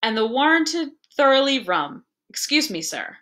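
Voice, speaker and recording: A woman's voice: high voice, very animated, moderate pace, pushed and bright, voice pushed up, exaggerated voice. A woman voicing a suave, mocking male shopkeeper in a storybook reading, polite and slyly insinuating. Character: suave, mocking male magic-shop keeper.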